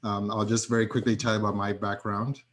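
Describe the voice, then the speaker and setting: Middle-aged man, steady low monotone, pauses often between words, on a video call. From Zambia. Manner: halting, with many fillers. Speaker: tech entrepreneur, panel speaker. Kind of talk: personal introduction at an online forum.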